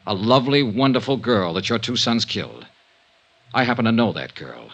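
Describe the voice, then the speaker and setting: An actor playing a hard-boiled, world-weary insurance investigator with an American accent and clipped, punchy phrasing in 1950s radio style. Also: male voice, lowish voice, expressive, moderate pace, creaky, a bit bright, lo-fi sound, exaggerated voice. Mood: confronting, bitter. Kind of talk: 1950s radio detective acting and narration. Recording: vintage 1950s radio recording.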